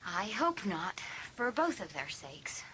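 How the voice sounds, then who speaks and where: A woman's voice: medium pitch, sing-song, slow pace, bright, forceful tone, slight background noise, full character voice, low-quality recording. A woman playing a resentful, indignant teenage girl in a dramatised audiobook. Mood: scornful.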